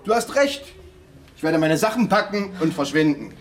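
A man's voice: a little high, expressive, moderate pace, natural voice. A man playing a nosy, dogged amateur investigator in a radio drama, with a blunt, insistent, probing manner. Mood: defensive. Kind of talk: naturalistic radio drama acting.

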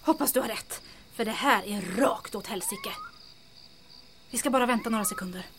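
A woman's voice: on the high side, sing-song, noisy background. A woman playing a spirited, practical young woman in an audio drama, eager and exclamatory as she bickers with her companion. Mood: shaken.

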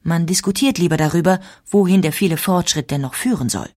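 A female narrator with a husky, fairly low voice reads a scripted documentary. Her delivery is measured and explanatory. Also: a little mellow. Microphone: studio microphone.

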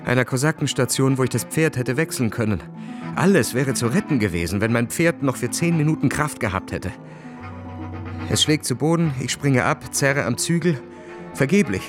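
Actor as brooding young officer narrating, music underneath. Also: male voice, mid-range voice, close to an everyday voice. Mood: anguished. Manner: dramatic, introspective storytelling. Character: brooding young Russian officer, proud, world-weary, impassioned narrator. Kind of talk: German radio play narration.

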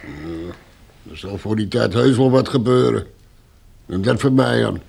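Radio play heavy: threatening, gruff, very creaky voice, colloquial, slightly noisy recording. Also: male voice, lowish voice, unhurried, a little mellow. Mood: surly, threatening. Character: surly, threatening rough male henchman. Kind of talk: Dutch radio play acting.

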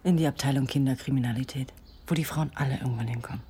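An actress playing a quick-witted, frustrated young policewoman in an intimate radio drama, dry and ironic. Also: rumbling low, dark tone, husky, full character voice. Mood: wry, frustrated. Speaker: actor. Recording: faint background ambience noise.

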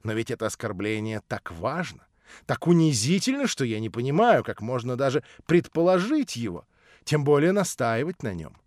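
A man reading an audiobook voices an older nobleman, lowered, measured and cool. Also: very animated, a little mellow, no echo, studio quality, natural voice. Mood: cold. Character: older nobleman, cold, condescending and smooth.

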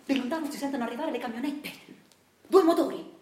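A woman playing a self-styled intellectual husband who narrates a radio drama theatrically and deliberately, with a Neapolitan accent. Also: high-pitched, darkish tone, echoey room, close to an everyday voice. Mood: hushed suspense. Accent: southern Italian, Neapolitan. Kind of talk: theatrical scripted storytelling.

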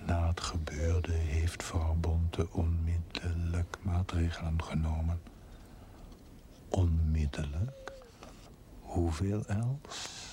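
A man's voice: low voice, fairly even intonation, slow pace, pauses often, noisy background. A man acting a stern, reproachful inner voice in a television drama, solemn and whispery. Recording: loud music over the voice.

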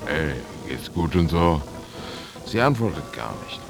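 Super low voiced drifter dude, worn out n slangy, radio drama, loud guitar under it. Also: male voice, big pitch swings, a little slow, gravelly, heavily acted. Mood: weary, coarse. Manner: coarse slangy monologue in short clipped sentences. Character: worn-out, aimless male drifter telling his own misadventure. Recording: music behind the voice. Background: guitar music.

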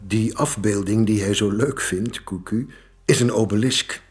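A man's voice: fairly low pitch, measured pace, soft background music, lightly acted. Man playing a patient kindly art historian, explanatory tone. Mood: gentle. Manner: gentle, explanatory. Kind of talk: radio drama acting.

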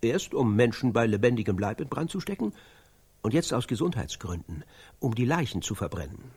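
A grim, intense storyteller in a German radio play. His husky voice swings widely in pitch as he lays out horrors in slow, halting, dramatic phrases. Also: sing-song, darkish tone. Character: intense man grimly narrating scenes of atrocity.

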